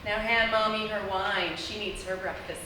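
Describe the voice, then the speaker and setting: Perky ad mom, acted by a woman: high, bright, blithely chipper, parody commercial in echoey theatre. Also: steady tone, normal speed, lightly acted. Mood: chipper pitching. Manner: rattling off lists in chipper pitch. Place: live stage in a theatre. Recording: echoey theatre, distant mic, faint noise.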